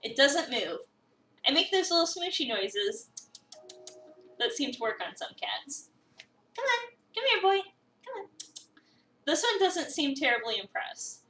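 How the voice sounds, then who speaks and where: A woman's voice: high voice, big pitch swings, a little slow, long pauses, bright, forceful tone, far from the mic, a little hiss or hum. A woman streamer expressively reading a visual novel aloud, acting out lines and chatting casually in between. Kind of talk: dramatic reading of a game script with casual chat asides. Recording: roomy echo, away from the mic.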